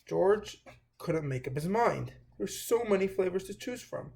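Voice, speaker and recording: A man hosting a children's read-aloud, reading a storybook with a somewhat halting delivery. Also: sits high, lively intonation, creaky, darkish tone, a little hiss or hum.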